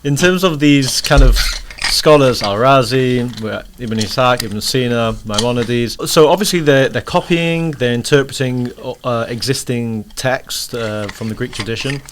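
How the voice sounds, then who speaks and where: A man hosting a podcast interview, asking questions in an informal, halting, conversational way. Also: mid-range voice. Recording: noticeable background noise.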